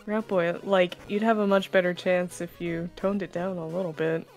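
A woman's voice: on the low side, flat delivery, moderate pace, a little mellow, soft background music. A woman chatting and joking with friends about a game, sometimes slipping into a mock counselor bit. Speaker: let's play co-host. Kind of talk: casual gaming chat.

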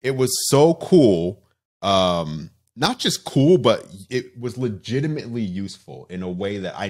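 A man's voice: fairly low pitch, a little mellow. A man hosting a casual video podcast, leading the talk and asking follow-up questions. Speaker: podcast host. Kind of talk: casual chat.

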